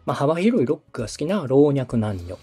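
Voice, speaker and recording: A man's voice: medium pitch, sing-song, a little fast, warm tone, breathy edge. A man from Osaka hosting a podcast consultation, giving polite, methodical, structured advice and explanations. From Osaka, Japan. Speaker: host, podcast producer coaching a client.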